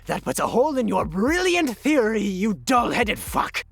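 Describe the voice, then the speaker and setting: A man's voice: high-pitched, huge pitch swings, moderate pace, creaky, fairly bright tone, breathy edge, dry recording, heavily acted. A man playing a masked sadistic serial killer in an audio drama, cowardly, foul-mouthed and theatrically menacing. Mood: menacing rage.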